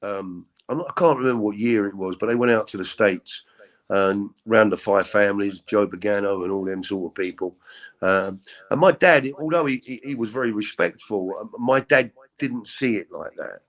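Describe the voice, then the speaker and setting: A middle-aged man with a deep voice, telling his story as an interview guest in a halting, candid way with many repeats. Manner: halting, repeats words, frequent ums.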